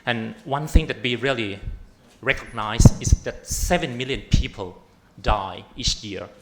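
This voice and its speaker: A man's voice: mid-range voice, measured pace, slight background noise. Thai-accented man presenting research. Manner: hesitant, many fillers. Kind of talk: panel presentation.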